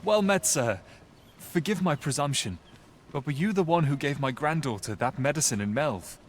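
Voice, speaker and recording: An actor playing a genial, grateful old merchant with folksy archaic speech in a fantasy game. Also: male voice, on the high side, expressive, moderate pace, slight background noise. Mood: grateful, fond. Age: older. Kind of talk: scripted fantasy game dialogue.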